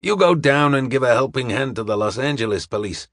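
A man playing a hearty, self-satisfied American police officer in an audiobook, brisk and slangy. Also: medium pitch, lively intonation, a bit bright, dry recording, studio quality. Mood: hearty, smug.

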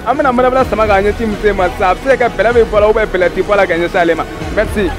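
A young man delivers playful, chant-like game patter. His voice is high and he talks very quickly. He is outdoors, with music behind him. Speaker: co-host of street game. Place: outdoors in urban courtyard. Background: music, crowd.

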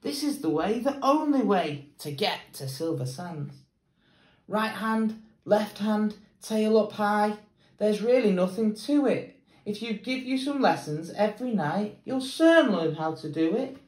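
Bouncy, bossy circus acrobat woman, raised pitch, echoey room, voiced by a male reader. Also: lively intonation, unhurried, a little mellow. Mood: instructing.

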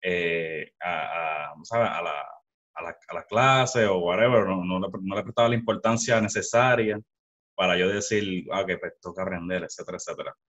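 A man's voice: low voice, moderate pace, fairly bright tone. A young Puerto Rican man hosting a casual video-call interview, rambling with many restarts, talking into a headset mic at home. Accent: Puerto Rican Spanish. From Puerto Rico. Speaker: podcast host. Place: small room at home. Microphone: headset with studio mic. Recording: dull lo-fi sound.

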